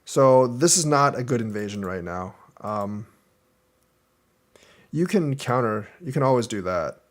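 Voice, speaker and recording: Young adult male Go teacher, casual game review, thinks aloud move by move, mid-range pitch. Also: lively intonation, a little slow. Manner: thinks aloud, explaining moves step by step.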